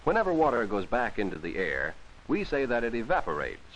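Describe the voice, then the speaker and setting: A man's voice: medium pitch, wildly swooping pitch, brisk, a bit bright, a little hiss or hum, rough recording. A man narrating a vintage 1940s educational film for children, explaining things simply and instructively on an old film soundtrack.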